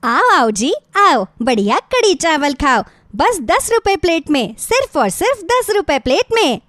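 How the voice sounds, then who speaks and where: A woman playing a jealous, rude food vendor with a sharp-tongued, hawking manner in an animated moral story. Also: high voice, measured pace, dry recording, clean studio sound, heavily acted.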